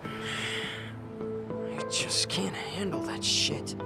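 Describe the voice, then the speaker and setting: A man's voice: fairly high pitch, lively intonation, very slow, gravelly, loud music behind the voice, heavily acted. An actor playing a rebellious young man with an American accent in a game dub, casual, slangy and defiant. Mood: sheepish, subdued. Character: cocky, rebellious, foul-mouthed young man, troubled son. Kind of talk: video game dub acting.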